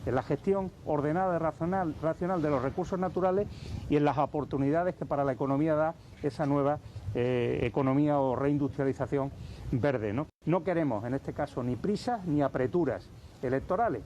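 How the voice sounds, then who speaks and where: A male politician giving a measured statement to the press, with hesitant fillers. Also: on the high side, mellow, lo-fi sound, slight background noise.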